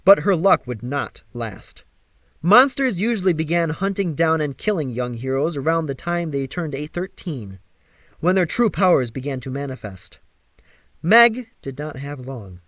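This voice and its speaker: A man narrates a young-adult fantasy audiobook in a slightly high voice. His intonation swings widely, with emphatic, theatrical phrasing. The sound is dry and close, without room echo. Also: sing-song, measured pace, a bit bright. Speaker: audiobook reader.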